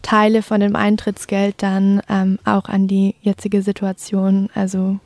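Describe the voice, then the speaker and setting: A woman's voice: medium pitch, monotone, measured pace, a little mellow, no room sound. A woman interviewing a guest, asking friendly, encouraging questions. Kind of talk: radio interview.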